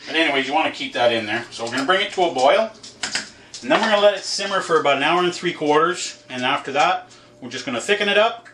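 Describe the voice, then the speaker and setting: A man's voice: fairly high pitch, animated, quick pace. A middle-aged man casually talking through a home cooking demo, joking and bantering offhand. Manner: joking, offhand, bickers playfully. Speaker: trucker vlogger cooking at home. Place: small home kitchen. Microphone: camera across the room. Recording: echoey kitchen with cooking noise.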